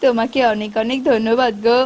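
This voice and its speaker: A woman's voice: a little high, level tone, fast talker, very noisy, lightly acted. A woman voicing a polite, earnest male bird in a cartoon. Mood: grateful.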